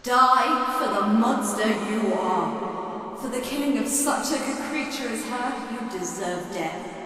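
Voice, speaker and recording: An actress in a radio play playing a venomous, scornful villager, a poison-pen accuser with a spiteful drawl. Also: female voice, a little high, fairly bright tone. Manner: spiteful, drawn-out. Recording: cavernous reverb, distant, music behind.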